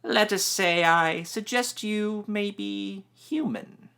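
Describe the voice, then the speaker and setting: Man voicing ancient stern old woman, slow menacing drawl, bright tense tone, storybook reading. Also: high-pitched, gravelly, clear, resonant tone, pitched above the performer's own voice, full character voice. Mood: commanding.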